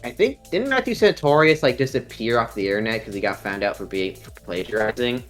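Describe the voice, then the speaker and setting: A man's voice: medium pitch, expressive, brisk, faint music behind. A young man with a nasal voice, chatting casually on a live stream, rambling with many ums and restarts. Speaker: stream co-host.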